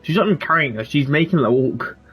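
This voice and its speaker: A game streamer giving casual commentary, informal and rambling, thinking aloud in a husky voice. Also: mid-range voice, lively intonation, fast, a bit bright, faint music behind.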